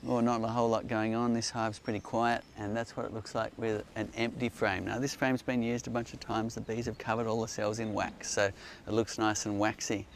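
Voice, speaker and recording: Low gravelly guy showing stuff in his garden, excited explainer, a bit of outdoor noise. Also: fairly quick, hardly any pauses. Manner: enthusiastic, explanatory, demonstrating as he talks. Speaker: beekeeping company host. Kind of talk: outdoor Q&A demonstration.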